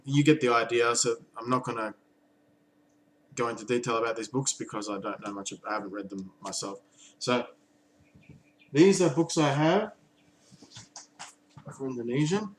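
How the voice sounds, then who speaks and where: A man's voice: on the low side, slight background noise, rough recording. A man talking casually to camera about reading in foreign languages, thoughtful and hesitant with frequent ums and restarts. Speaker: language learning vlogger.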